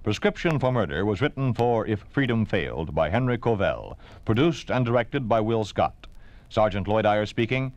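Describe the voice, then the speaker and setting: A man's voice: lowish voice, big pitch swings, normal speed, no echo, dull audio. A man with an American accent announcing on 1950s radio, reading a script in a grave, formal, emphatic way. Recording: vintage 1950s radio broadcast. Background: dramatic orchestral music.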